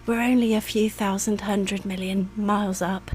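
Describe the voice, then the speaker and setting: A woman doing an ASMR roleplay as a reassuring, attentive air hostess, speaking softly and soothingly, sometimes in a whisper. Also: medium pitch, steady tone, normal speed, a little hiss or hum. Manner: soft-spoken, soothing, partly whispered.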